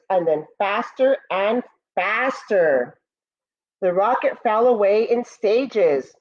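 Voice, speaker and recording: Woman doing a kids read-aloud, very animated, takes her time, talks right to the kids, bit lo-fi. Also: medium pitch. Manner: expressive, addresses young listeners directly. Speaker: school staff member reading a picture book to pupils. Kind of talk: children's read-aloud with asides.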